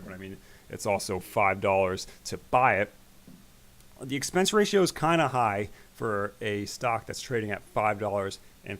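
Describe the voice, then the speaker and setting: A man hosting an investing channel, talking casually through his stock portfolio in a rambling way, his voice growing raspy. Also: on the low side. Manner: relaxed, rambling, with fillers. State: voice getting raspy. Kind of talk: casual screen-share stock commentary.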